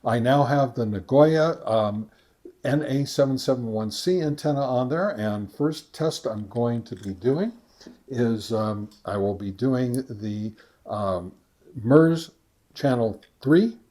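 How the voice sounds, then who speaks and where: Man giving a halting hands-on gear test. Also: mid-range voice, expressive, warm tone. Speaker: host of a ham radio gear review channel. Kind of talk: casual product test narration.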